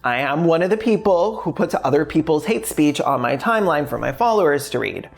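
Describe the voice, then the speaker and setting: A woman's voice: low voice, expressive, brisk, creaky, soft background music. A woman hosting a video essay in a frank, sardonic way, mixing scripted points with casual, sweary asides.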